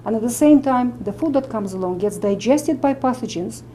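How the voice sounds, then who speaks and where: Russian-accented woman doctor, mellow voice. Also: on the high side, expressive, moderate pace, slight background noise. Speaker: medical doctor and nutritionist. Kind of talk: explaining to camera.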